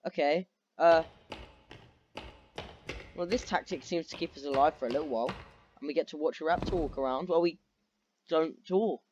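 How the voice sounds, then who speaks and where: A man giving casual gameplay commentary as a video host, sounding tired and low on energy with a dry, sarcastic manner. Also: normal speed, long pauses, muffled recording. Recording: steady background noise with clicks.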